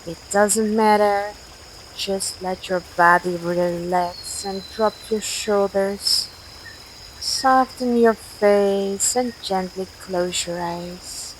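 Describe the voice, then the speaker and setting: A woman leading a guided sleep meditation in a gentle, soothing, measured way, with long pauses between instructions. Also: mid-range voice, poor audio. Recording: steady outdoor nature noise, crickets. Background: cricket and night insect ambience.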